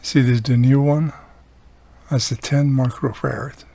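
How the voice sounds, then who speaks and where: Husky guy with some fry in his voice, casually walking thru a repair step by step. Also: mid-range voice, steady tone, a little slow, mellow. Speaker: radio repairer and video host. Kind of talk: casual hands-on repair demonstration.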